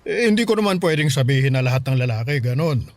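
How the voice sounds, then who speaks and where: A man's voice: mid-range voice, expressive, quick pace, natural voice. A man playing a caring, bemused middle-aged father in a radio drama, conversational and full of exclamations. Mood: fond, grateful. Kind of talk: Tagalog radio drama dialogue.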